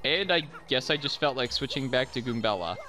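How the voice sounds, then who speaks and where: A man's voice: medium pitch, lively intonation, fairly quick, pushed and bright. A young man giving chatty, self-deprecating commentary over recorded gameplay. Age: young adult. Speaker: let's play commentator.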